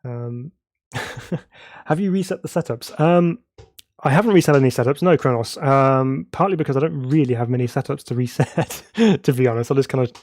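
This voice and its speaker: A man's voice: medium pitch, moderate pace, darkish tone. Congested man streaming sim racing. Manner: chatty and self-laughing, reads viewer chat aloud. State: congested from a cold. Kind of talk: live gameplay commentary.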